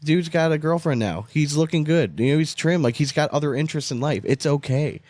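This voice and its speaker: A man hosting a sports podcast in casual banter, blunt and quick to swear. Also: a little high, a little mellow, dry recording. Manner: blunt, profane. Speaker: podcast host.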